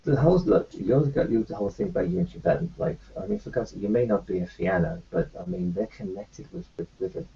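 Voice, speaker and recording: A husky, gravelly man with a low, dark voice plays his character in a tabletop game. He talks in a rambling, hesitant way, full of restarts, and his pitch swings widely. The recording is dull and lo-fi. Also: big pitch swings, fast talker, few pauses. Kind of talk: in-character roleplay talk.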